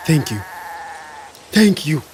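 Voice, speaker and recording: A man with a Ugandan accent acting a confident schemer in a radio drama, his voice husky. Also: a little high, sing-song, slow, slight background noise. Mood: sly, assured.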